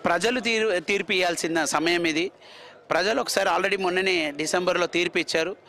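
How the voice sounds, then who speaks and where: A man's voice: sits high, fairly even intonation, quick pace, bright, forceful tone. A man speaking for his political party in an interview.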